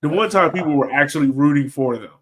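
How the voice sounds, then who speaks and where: A man's voice: on the high side, quick pace, fairly bright tone. A man hosting a casual sports podcast chat, easygoing and slangy, often repeating phrases for emphasis. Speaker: podcast host.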